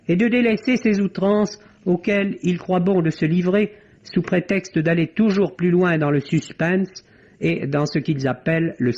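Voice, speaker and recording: High-voiced man, vintage radio critic. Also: darkish tone. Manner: articulate, literary phrasing. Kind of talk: scripted radio review. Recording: dull vintage radio recording.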